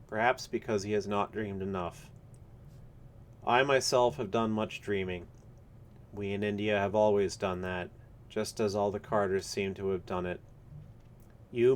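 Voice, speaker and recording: A lowish, measured voice of a man acting out a storybook swami. He speaks formally and a little laboriously. He is courteous, patient and full of secret knowledge. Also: slight background noise, close to an everyday voice. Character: mysterious, courteous Indian swami, patient and knowing.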